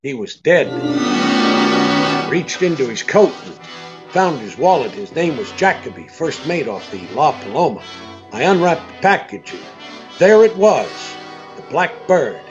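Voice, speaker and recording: An actor playing a tough, wry private detective, hard-boiled and wisecracking, in a 1940s-style radio drama. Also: male voice, fairly high pitch, sing-song, unhurried, music in the background, poor audio. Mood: wry narrating. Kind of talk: 1940s radio drama acting with first-person narration.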